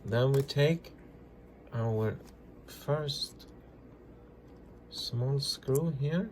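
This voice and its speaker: A man presenting a casual hands-on repair tutorial, talking through each step in halting, simple non-native English. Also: mid-range voice, slight background noise. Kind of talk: casual hands-on demonstration, narrating as they work.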